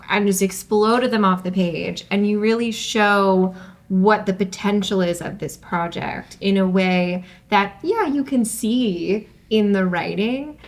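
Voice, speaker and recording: Female host, middle pitch, enthusiastic and effusive, informal talk. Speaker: table read host. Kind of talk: casual discussion.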